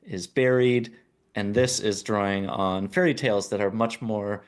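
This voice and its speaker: A man's voice: fairly low pitch, unhurried. A man co-hosting a podcast, musing aloud as he builds up long questions for his guests. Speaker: co-host. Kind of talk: casual podcast chat.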